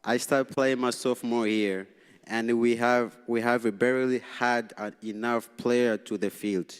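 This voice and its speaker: A young man from Tanzania whose first language is Swahili, reading a prepared speech as a student representative at a public meeting, halting and nervous, with frequent restarts. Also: mid-range voice, fairly even intonation, clear, resonant tone. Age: young adult. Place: large city hall meeting room. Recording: long reverb in a council chamber.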